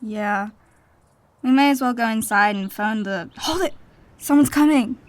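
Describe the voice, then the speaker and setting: A woman's voice: on the high side, unhurried, lightly acted. An actress playing an earnest, anxious but plucky teenage girl hero in an audio drama. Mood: alert.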